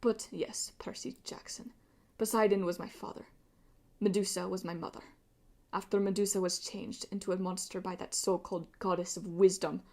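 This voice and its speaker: A woman voicing an arrogant, bitter golden-masked immortal pirate in an audiobook, with pompous, taunting delivery and breathy, drawn-out menace. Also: lowish voice, fairly even intonation, fairly bright tone, exaggerated voice. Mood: sly contempt.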